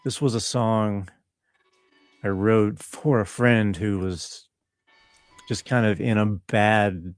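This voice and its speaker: A man chatting casually as an interview guest, explaining his creative work in a laid-back way with pauses. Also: lowish voice, fairly even intonation, no echo, faint music behind. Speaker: interview guest, composer and musician. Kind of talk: casual conversational interview talk.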